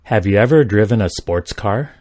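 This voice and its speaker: A man reading simple English practice sentences aloud, each one twice, in a careful, even delivery. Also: lowish voice, normal speed, no echo, clean studio sound. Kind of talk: slow repeated sentence reading for learners.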